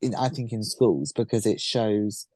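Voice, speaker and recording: A man's voice: on the low side, unhurried, darkish tone. A man hosting a casual podcast, playful and a little theatrical, echoing his guests' words. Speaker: podcast host.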